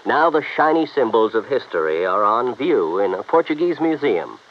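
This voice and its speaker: Casting: male mid-century radio announcer, formal and earnest, lively inflection, muffled old recording. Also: mid-range voice, normal speed. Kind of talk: scripted narration, 1950s radio announcing. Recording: dull, faintly noisy vintage radio recording.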